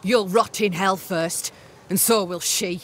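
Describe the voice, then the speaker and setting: A woman's voice: medium pitch, takes it slowly, a little hiss or hum, natural voice. An actress playing a proud, bitter young woman in a game cutscene, scornful and blunt. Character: bitter, proud young woman camp settler, resentful of her sister. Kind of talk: dramatic game cutscene acting.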